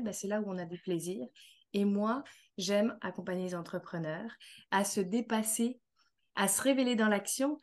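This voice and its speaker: A woman's voice: medium pitch, a little mellow. A woman from France with a light Canadian accent, a guest speaking earnestly about her coaching work in a casual interview. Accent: French with a light Canadian tinge.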